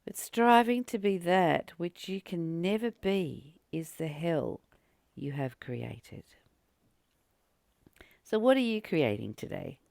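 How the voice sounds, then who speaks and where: Woman, spiritual teacher, gravelly fry, close dry sound, earnest and deliberate delivery. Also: on the low side, expressive, darkish tone, no room sound. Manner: earnest, deliberate, with frequent pauses and emphatic repetition. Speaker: spiritual teacher and channel host. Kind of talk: spiritual teaching, reading a text with informal commentary.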